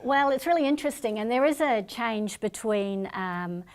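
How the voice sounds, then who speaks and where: A middle-aged woman, a speaker and trainer, answering interview questions in a calm, explanatory way. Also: fairly high pitch, moderate pace. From New Zealand family, born in Singapore. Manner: calm, explanatory, self-promoting.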